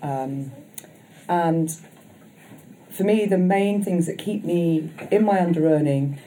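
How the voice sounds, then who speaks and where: Woman husky, low, mellow voice, loud on the mic, halting reflective share. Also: a little hiss or hum, poor audio. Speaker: guest speaker at a recovery convention. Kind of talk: personal recovery share. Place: convention session room. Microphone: microphone.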